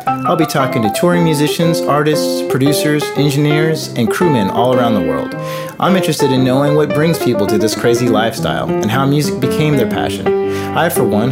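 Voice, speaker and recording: A man with an American accent hosting a podcast in a casual, conversational interview style. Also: mid-range voice. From United States. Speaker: podcast host.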